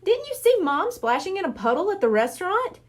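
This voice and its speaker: A worried young boy from a storybook voiced by a woman, with a high, very lively delivery. He is indignant and emphatic, pressing his point with exasperated disbelief. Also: a little fast. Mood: indignant, incredulous. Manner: emphatic, incredulous.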